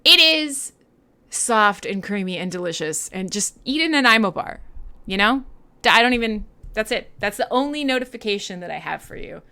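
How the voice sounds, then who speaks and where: A woman's voice: mid-range voice, expressive, normal speed, bright, forceful tone, smooth. A woman with a Canadian accent hosting a radio show in a casual, irreverent, sweary and playful chatting style. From western Canada. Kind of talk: casual live chat between music tracks.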